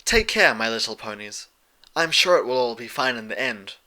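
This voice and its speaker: A man voicing a gentle but firm, stately pony princess in a fan fiction story, speaking in measured, formal phrases. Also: mid-range voice, lively intonation. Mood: calm authority.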